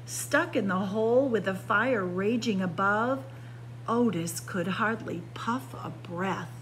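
A woman reading a children's picture book aloud as a story time narrator, with expressive, dramatic phrasing. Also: low-quality recording. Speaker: library story time reader. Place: indoors by bookshelves. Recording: clear background noise with low hum.

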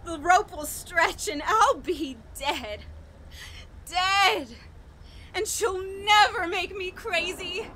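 An actress playing a frantic, haunted woman on the edge of madness in a horror radio play, halting and overwrought. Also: very high-pitched, background noise. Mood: hysterical. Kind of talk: 1940s radio horror acting, dramatic monologue.

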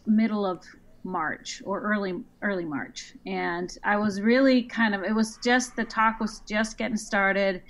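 A woman from Iceland, a guest being interviewed, talking casually with frequent restarts and a quick laugh. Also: medium pitch, a bit bright. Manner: chatty, quick to laugh, restarts her sentences. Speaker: guest quilt designer.

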